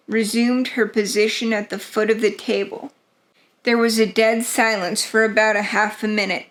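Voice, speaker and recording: Very slow, high-voiced woman narrator. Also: a bit bright. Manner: deliberate, theatrical storytelling. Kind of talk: atmospheric dramatic narration of gothic horror.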